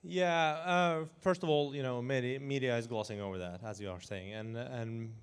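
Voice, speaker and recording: A man in his forties from Iran who grew up in Norway, talking as a guest in an interview in an animated way, chuckling and restarting his sentences. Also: medium pitch, moderate pace, clear, resonant tone. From Iran, raised in Norway. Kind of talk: casual interview talk.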